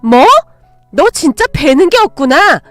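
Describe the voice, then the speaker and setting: Woman voicing a shrill, harsh middle-aged mother. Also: sing-song, unhurried, dry recording, soft background music. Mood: angry, scolding. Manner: shrill, accusing shouting. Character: harsh, greedy middle-aged mother screaming at her daughter.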